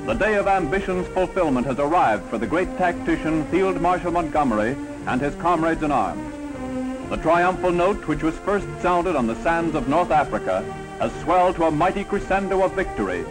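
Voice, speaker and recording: A man's voice: on the high side, animated, moderate pace, rough recording. A man narrating a scripted wartime newsreel from the 1940s in a dramatic, triumphal, declamatory manner. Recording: vintage 1940s newsreel soundtrack. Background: newsreel music.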